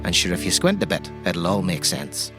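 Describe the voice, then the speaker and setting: An Irishman tells a tabletop story as game master with very animated pitch. His voice sits a little low and his style is wry and folksy, talking right to his listeners. Music plays behind him. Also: normal speed. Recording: music under the voice.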